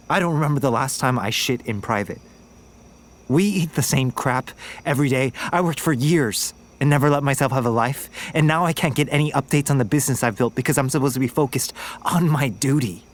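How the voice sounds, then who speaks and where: A man's voice: mid-range voice, natural voice. An actor playing a hurt, bitter young man doing military service who confronts his girlfriend. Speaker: lead character, boyfriend. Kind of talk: audio drama acting.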